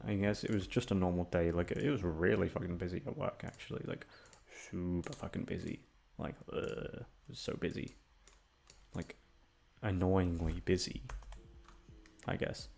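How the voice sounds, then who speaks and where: A man's voice: low voice, gravelly, a little mellow. A man chatting casually as a host, rambling aimlessly and self-deprecatingly with plenty of swearing. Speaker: voice actor and channel host. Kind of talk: casual rambling outro chat.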